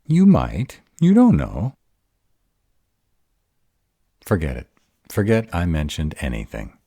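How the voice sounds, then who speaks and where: A man's voice: very deep voice, huge pitch swings, a little slow, warm tone, no echo, studio quality, heavily acted. A man playing a grumpy, insecure were-dragon in a romantic roleplay audio drama, hesitant and defensive as he courts his mate. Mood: defensive, sulky. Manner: hesitant, defensive, self-doubting.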